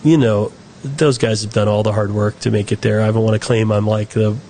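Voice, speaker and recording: A man's voice: lowish voice, fairly even intonation, fairly quick, mellow, a little hiss or hum. An American man, a film director, answering interview questions in a loose, rambling way, often restarting and correcting himself. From United States. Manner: rambling, self-correcting storyteller. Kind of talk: casual conversational interview.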